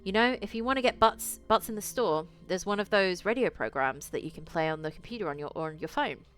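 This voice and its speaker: A woman's voice: medium pitch, expressive, brisk, a bit bright, close to an everyday voice. A woman voicing a folksy, gossipy woman townsperson in a visual novel, chatty and plain-spoken. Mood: helpful. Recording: music behind the voice.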